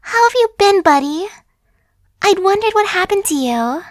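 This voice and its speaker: A woman's voice: very high-pitched, lively intonation, moderate pace, no echo, studio quality. Woman playing a sly chatty zombie girl. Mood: coaxing. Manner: coaxing, persuasive, casual chatter. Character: chatty friendly female zombie, coaxing and sly. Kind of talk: ASMR roleplay.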